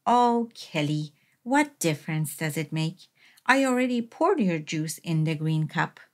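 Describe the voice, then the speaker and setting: A woman's voice: low voice, animated, a little slow, a little mellow, pitched below the performer's own voice. A woman voicing a practical, mildly insistent mother reasoning with her small child in a storybook. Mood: mildly exasperated. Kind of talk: storybook read-aloud.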